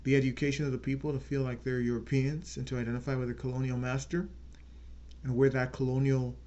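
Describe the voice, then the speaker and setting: A man hosting his own channel, giving an earnest unscripted political talk and slowing down to stress key words. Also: medium pitch, flat delivery, warm tone, muffled recording. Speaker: channel host.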